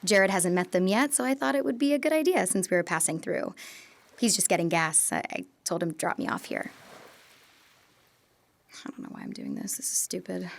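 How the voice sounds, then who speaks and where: A woman's voice: lowish voice, sing-song, a little fast, creaky, a little hiss or hum. A young woman playing a conflicted former girlfriend in an audio drama, earnest and hesitant in her delivery. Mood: awkward, hesitant.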